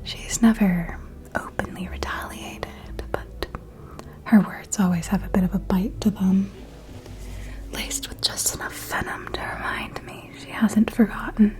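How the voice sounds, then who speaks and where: A woman playing a refined, shrewd vampire noble who gossips in an elegant, deliberate manner in a whispered ASMR roleplay. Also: mid-range voice, fairly even intonation, lightly acted. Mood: sly, disdainful. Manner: slow, deliberate, elegant, hushed insinuation.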